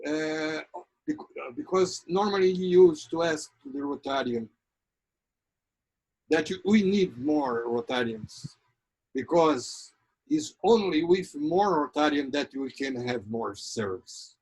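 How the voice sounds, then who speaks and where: A man from Ceará, Brazil, with a Brazilian accent, hosting an online talk show and giving a formal welcome. He talks haltingly, searching for words, with many pauses. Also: on the high side, slightly rough, low-quality recording. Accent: Brazilian Portuguese, from Ceará. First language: Portuguese. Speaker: host.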